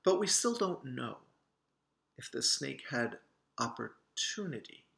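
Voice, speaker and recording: A man voicing a studious, doubtful young girl detective in a storybook, explaining things in a measured way. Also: mid-range voice, lively intonation, vocal fry, a bit bright, full character voice. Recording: roomy, echoing sound.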